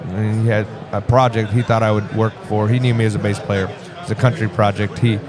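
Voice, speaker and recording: A man in his forties, born in Vietnam and raised in the US Midwest, chatting casually as an interview guest with a relaxed, rambling manner and many restarts. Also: on the low side, a little fast. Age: 40s. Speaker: guest musician. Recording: crowd noise behind.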